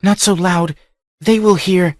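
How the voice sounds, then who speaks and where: A desperate young noblewoman captive, voiced by a male audiobook narrator, her voice lifted high above his own. She pleads in a breathless, urgent rush, and the recording is close and dry with no room sound. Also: steady tone, moderate pace, dry recording, close to an everyday voice. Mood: pleading. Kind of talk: audiobook character voice.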